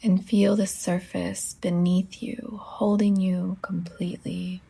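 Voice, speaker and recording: Breathy, near-whispering woman guiding relaxation, very slow. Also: on the low side, flat delivery. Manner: gentle, slow phrases with long pauses. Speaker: yoga teacher guiding a meditation. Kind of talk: guided relaxation meditation, hushed and soothing.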